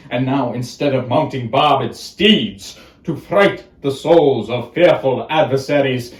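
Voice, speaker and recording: A man performing a bitter, scheming, deformed royal villain in a declamatory Shakespearean monologue. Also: mid-range voice. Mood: mock triumphant. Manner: declamatory, slow with dramatic pauses and swings. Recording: roomy, echoing space.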